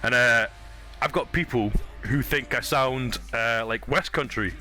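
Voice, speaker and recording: A man's voice: medium pitch, a little slow, sharp tone, soft background music. A Scottish man streaming a game, chatting casually, swearing and laughing easily. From Scotland. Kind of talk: casual gaming stream chat.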